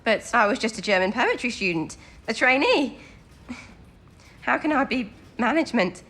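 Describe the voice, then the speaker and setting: An actress playing an excitable, self-doubting young wartime codebreaker with an eager, girlish manner in an audio drama. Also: a little high, normal speed. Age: young adult.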